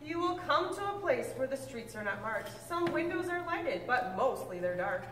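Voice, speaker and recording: A woman reading a picture book aloud with lively, expressive delivery, now and then stumbling and correcting herself. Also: moderate pace, a little hiss or hum. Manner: expressive storybook reading with big pitch swings. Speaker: reader. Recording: echoey room, mic at a distance.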